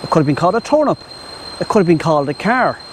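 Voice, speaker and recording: A man's voice: fairly high pitch, big pitch swings, fast, low-quality recording. Irish man, casual rambling vlog talk, outdoors, faint background noise, lavalier mic. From Ireland. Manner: rambling, chatty, addresses viewers as "my friends". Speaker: travel vlogger. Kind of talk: casual travel vlog to camera. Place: outdoors in Thai countryside.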